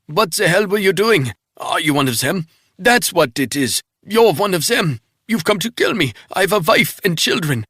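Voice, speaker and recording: A man's voice: medium pitch, expressive, moderate pace, fairly bright tone, dry recording, pitched above the performer's own voice. A man voicing a panicked middle-aged male spy who pleads and then sneers at his captor, in a dry, close audiobook reading. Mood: pleading.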